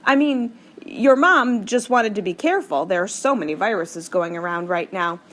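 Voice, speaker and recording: An easygoing grown man from a storybook, trying to be helpful, as read by a woman. He speaks in calm, reassuring tones. The voice stays close to the reader's own. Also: mid-range voice, normal speed, close to an everyday voice. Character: friendly grown man, mother's boyfriend.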